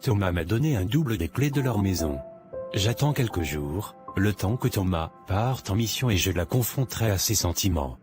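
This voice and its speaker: Man playing a low-voiced scornful, irritable husband. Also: lively intonation, moderate pace, over music. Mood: scheming. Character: irritable, covetous married man, scornful and self-assured. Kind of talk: scripted animated drama dialogue.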